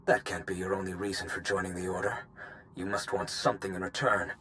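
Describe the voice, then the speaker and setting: A man's voice: low voice, fairly even intonation, fairly quick, pushed and bright, slight background noise. A man playing an intense, vengeful conspirator in a dramatic video game cutscene. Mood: wary, probing. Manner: intense, resolute. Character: vengeful, zealous male conspirator villain.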